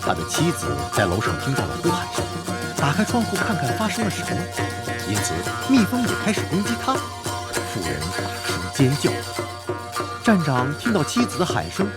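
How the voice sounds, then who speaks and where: A man narrating an animated folk tale in an expressive, scripted storytelling style. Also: on the high side, moderate pace. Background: music.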